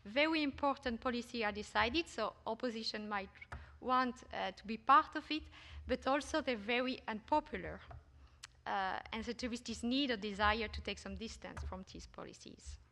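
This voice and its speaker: A woman's voice: fairly high pitch, normal speed, bright, forceful tone, big-room sound. A woman presenting her research paper at an academic conference, speaking hesitantly with many fillers and restarts. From Belgium. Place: conference hall.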